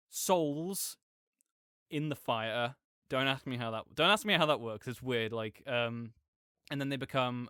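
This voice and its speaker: A man's voice: medium pitch, a bit bright, clean tone, dry recording, studio quality, lightly acted. A man playing a chatty, nerdy roommate who rambles enthusiastically about a video game in a casual, digressive audio roleplay monologue. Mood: rambling explanatory.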